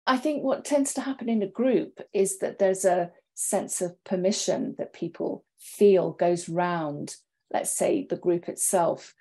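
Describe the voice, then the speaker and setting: A woman's voice: on the low side, unhurried, warm tone. A middle-aged woman reflecting as a panelist on a video call from her home study. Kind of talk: reflective discussion. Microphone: wired earphones on a video call.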